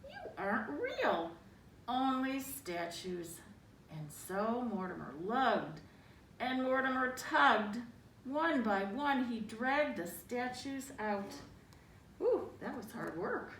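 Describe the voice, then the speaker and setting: A woman reads a picture book to young children with dramatic emphasis. Her fairly high voice moves up and down freely at an unhurried pace. She sounds slightly away from the mic, adding small asides as she goes. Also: lively intonation, a bit bright. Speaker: storybook reader. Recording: roomy, distant mic, faint noise.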